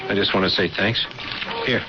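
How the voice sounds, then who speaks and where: A man's voice: on the low side, moderate pace, over music, lightly acted. An American man playing an earnest, nervous, polite amateur sleuth in a radio drama, with a hesitant, stammering manner. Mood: friendly, grateful. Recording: muffled, hissy old radio broadcast.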